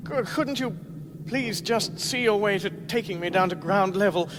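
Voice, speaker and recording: Man acting flustered english guy, high animated voice, protesting, noisy wind effects behind him. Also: moderate pace, natural voice. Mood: indignant. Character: panicky, indignant, polite Englishman dangling in midair. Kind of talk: British radio comedy acting.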